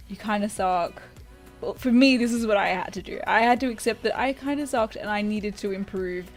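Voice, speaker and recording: A young woman with a slightly croaky voice from being sick, giving chatty, self-deprecating gaming advice in a casual vlog. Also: medium pitch. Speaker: gaming video creator.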